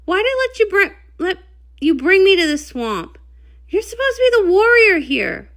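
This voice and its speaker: Casting: woman playing a fretful storybook pony traveler, high-pitched and very animated. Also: moderate pace, exaggerated voice. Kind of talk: comic read-along character voice.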